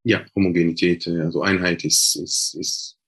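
Low husky man, hesitant. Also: flat delivery, moderate pace. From Israel. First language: Hebrew. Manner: hesitant, many filler sounds and restarts. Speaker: guest. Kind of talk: casual interview talk.

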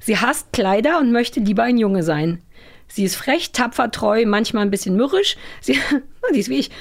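A woman's voice: a little high, lively intonation, normal speed. A woman chatting casually and playfully in a blunt, colloquial way. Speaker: talk show participant.

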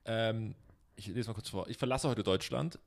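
A man's voice: fairly low pitch, normal speed, gravelly. A young man co-hosting a comedy podcast, rambling and teasing with lots of fillers. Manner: rambling, joking, many fillers. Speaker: podcast co-host. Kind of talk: casual chat.

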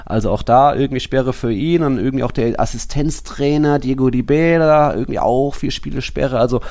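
Guy hosting a football podcast, mid pitched voice, chatty recaps with little asides, pretty casual. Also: a little fast, darkish tone. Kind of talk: casual sports podcast discussion.

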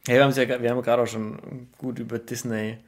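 This is a male podcast host with a voice of ordinary pitch. He keeps the interview relaxed and teases his guest lightly. His questions come across casual and friendly. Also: fast talker. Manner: jokey, easygoing.

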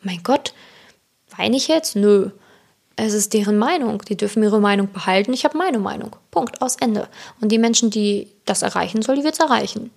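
A woman's voice: mid-range voice, moderate pace, vocal fry, darkish tone. A woman who is not a native German speaker, hosting an informal motivational podcast as a coach, chatting directly and personally to the listener. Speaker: podcast host and self-love coach.

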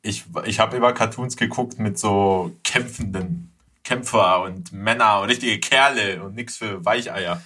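A man's voice: fairly low pitch, measured pace, pushed and bright, husky. A man chatting casually as a podcast guest, blunt and joking, with plenty of slang. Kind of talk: casual chat.

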